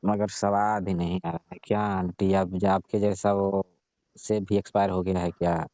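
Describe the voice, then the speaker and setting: A man's voice: low voice, little pitch movement, moderate pace, dark tone, no room sound, close to an everyday voice. A man playing a nagging, teasing customer in a comic phone call, prodding in colloquial, casual talk. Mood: complaining. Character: nagging, teasing male customer complaining about fruit. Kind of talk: staged comic phone conversation, casual chat. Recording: dull, dry lo-fi call recording.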